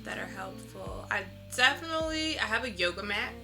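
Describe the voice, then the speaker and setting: A woman's voice: fairly high pitch, expressive, brisk, vocal fry, pushed and bright. A woman speaking informally and reflectively in a casual interview as a visual artist, often saying "like". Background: instrumental lo-fi music.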